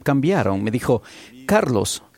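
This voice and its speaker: A man delivering a formal church conference sermon in the words of an interpreter, in a measured way. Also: mid-range voice, sing-song, creaky, a little mellow.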